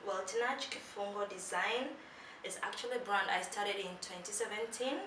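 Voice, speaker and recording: A woman in her twenties from Tanzania, a designer answering interview questions in a soft, modest way, laughing now and then. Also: medium pitch, normal speed. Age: 20s. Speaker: guest, accessory designer. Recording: echoey room, mic at a distance, noisy.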